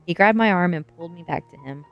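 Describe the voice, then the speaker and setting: A woman reading a first-person story aloud as ASMR, with soft music behind her. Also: fairly low pitch, quick pace, creaky, lo-fi sound. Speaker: streamer and reader.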